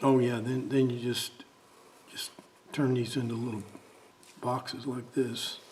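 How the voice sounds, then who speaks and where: A man talking casually and haltingly, thinking aloud as he demonstrates a drawing. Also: medium pitch, little pitch movement, darkish tone, a little hiss or hum. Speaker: amateur artist demonstrating a drawing. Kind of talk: casual talk while drawing.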